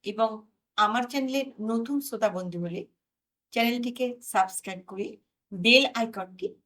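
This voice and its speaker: A woman, reading a comic story aloud as an expressive narrator. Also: on the high side, normal speed. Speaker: audio story narrator and channel host.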